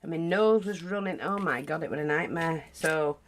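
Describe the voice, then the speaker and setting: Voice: medium pitch, moderate pace, background noise. British crafting tutor, stuffy nose, rambling friendly delivery. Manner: chatty, rambling with asides. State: blocked nose. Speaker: craft teacher.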